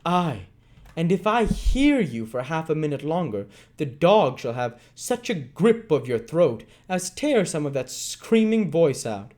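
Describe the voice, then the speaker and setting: A man reading aloud and voicing a brutal grown male robber, loud, gruff and threatening, his lines pitched up from his own voice and full of swinging inflection. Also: animated, moderate pace, lightly acted. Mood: angry. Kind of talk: dramatic read-aloud.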